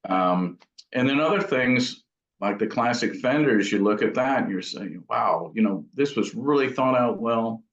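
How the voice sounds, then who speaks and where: A man's voice: medium pitch, animated, unhurried. A man being interviewed as an amp builder, talking casually in thoughtful, rambling explanations. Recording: dull, echoey room sound.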